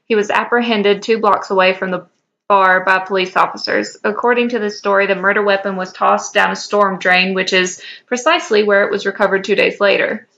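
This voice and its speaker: An earnest male investigator read by a woman in fan fiction, laying out facts briskly and surely. The voice is bright with some fry, on a slightly dull mic. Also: medium pitch, steady tone. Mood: brisk, assured.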